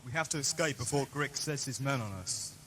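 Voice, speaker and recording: A man's voice: medium pitch, a little fast, fairly bright tone, natural voice. Weary, terse male escapee, acted by a man. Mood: weary, impatient. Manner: terse, insistent. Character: weary, impatient male escapee pressing onward. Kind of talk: fan audio drama acting.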